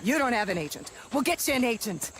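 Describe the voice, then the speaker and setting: A woman playing a flamboyant, self-important film director in a video game, gushing, theatrical and bossy as she talks fast. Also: on the high side, very animated, slight background noise. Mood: pushy, effusive. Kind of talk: video game cutscene acting.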